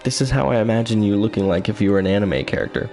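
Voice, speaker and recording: A man's voice: lowish voice, racing, darkish tone. A man casually commenting while playing a video game, joking and chatty, with game music behind him. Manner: joking, self-mocking, chatty. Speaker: streamer. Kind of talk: casual let's-play commentary.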